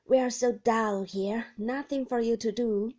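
A woman's voice: fairly high pitch, fairly even intonation, unhurried. Woman reading as a doting blind grandmother in her eighties. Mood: fond. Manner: fond, doddery, gently complaining.

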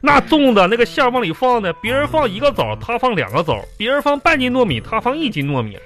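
A man playing a fussy, excitable, boastful middle-aged company boss in a comic radio sketch, with a Northeastern Chinese accent and a bossy, repetitive, exasperated way of talking. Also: extremely high pitch, fast talker, soft background music, exaggerated voice. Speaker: comedy radio drama host and actor.

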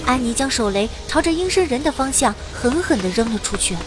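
A young girl magician tells a fantasy radio-play adventure. The voice is high and lively, with big melodic swings. The telling is theatrical, playful and a little vain, with music underneath. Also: fairly quick. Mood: dramatic. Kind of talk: first-person narration in fantasy audio drama.

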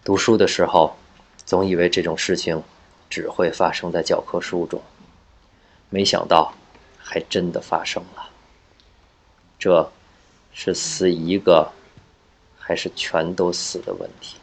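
A man playing a solemn, stern legal official in an audio drama, speaking with deliberate weight. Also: deep voice, little pitch movement, slightly rough, exaggerated voice, low-quality recording.

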